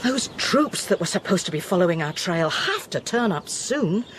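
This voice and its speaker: A woman's voice: lowish voice, very animated, moderate pace, slight background noise. A woman acting in a radio drama as a wry, pragmatic investigator who stays steadfast under threat. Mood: practical.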